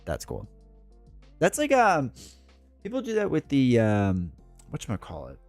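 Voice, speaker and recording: Rambling stuffed-up man with a lively voice. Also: mid-range voice, measured pace, creaky, no room sound, faint music behind. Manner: rambling, chatty, full of asides and fillers. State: stuffed up with a cold. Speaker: streamer playing a solo board game live. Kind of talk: casual live-stream chat.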